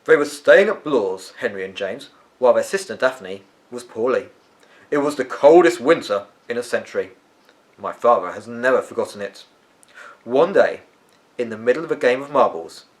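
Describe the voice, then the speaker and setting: A man's voice: mid-range voice, lively intonation, moderate pace, close to an everyday voice. A man voicing a weary, tetchy but kindly eccentric uncle from a storybook, musing and emphatic in his delivery. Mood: musing, wistful. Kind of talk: storybook character voice.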